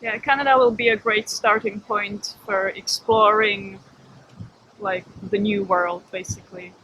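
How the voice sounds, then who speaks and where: A woman's voice: medium pitch, unhurried, slight background noise, rough recording. A woman, chatting casually and cheerfully on camera outdoors. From Czech Republic. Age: 20s. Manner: chatty and lively, laughs easily. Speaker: vlogger. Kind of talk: casual chat. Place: outdoors with a city behind.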